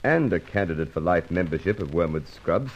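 Blunt, low-voiced police inspector. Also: male voice, lively intonation, fairly quick. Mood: dry, sceptical. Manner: blunt, dry, businesslike. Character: grumbling, sceptical, dry-witted male police inspector. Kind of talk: old radio drama acting. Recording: muffled old radio transcription recording.

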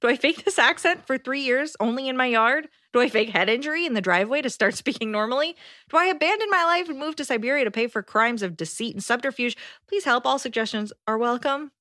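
A woman's voice: high-pitched, expressive, quick pace, pushed and bright, no room sound, studio quality. A woman co-hosting a casual podcast, reading listener letters aloud with frequent laughter. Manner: giggly, playful, laughs often. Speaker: podcast co-host.

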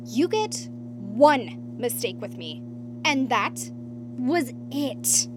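A woman's voice: a little high, very animated, extremely slow, noisy background, full character voice. A woman playing a spoiled, condescending actress in her early thirties in a naturalistic audio drama. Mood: curt, haughty.

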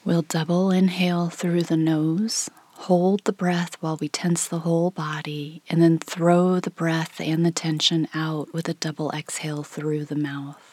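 Slow, breathy woman guiding meditation. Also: sits low, flat delivery, dry recording. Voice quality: soft, breathy, often near whisper. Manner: unhurried, gentle, with long pauses. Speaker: meditation guide. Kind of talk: guided meditation, slow calm instruction.

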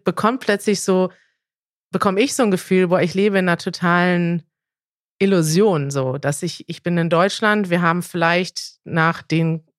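A woman's voice: lowish voice, no echo, clean studio sound. A young woman from Germany hosting a podcast interview, asking questions in a casual, frank, conversational way. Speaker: podcast host.

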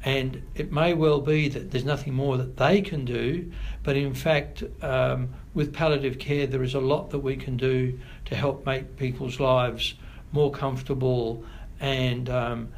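A middle-aged Australian man, a family doctor, answering interview questions in a measured, thoughtful way with frequent pauses. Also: mid-range voice, fairly even intonation, a little hiss or hum, rough recording. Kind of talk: podcast interview.

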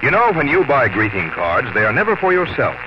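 A man announcing on 1950s radio, reading scripted sponsor copy in a polished, deliberate, earnest way. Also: mid-range voice, huge pitch swings. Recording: noisy, dull 1950s radio recording.

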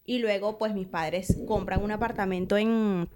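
A Venezuelan woman in her twenties, a podcast guest, relaxed and storytelling, often trailing off softly. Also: medium pitch, normal speed, a little hiss or hum. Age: 20s. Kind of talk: casual interview chat. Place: Australia.

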